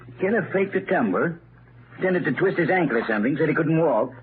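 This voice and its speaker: On a narrow, hissy 1930s broadcast, a man plays an older police inspector following his son's reasoning. The voice sits fairly high and swings keenly in pitch. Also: lively intonation, fast, close to an everyday voice. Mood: keen, questioning. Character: older police inspector, the detective's attentive father. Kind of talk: 1930s radio mystery acting. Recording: noisy, narrow-band 1930s radio recording.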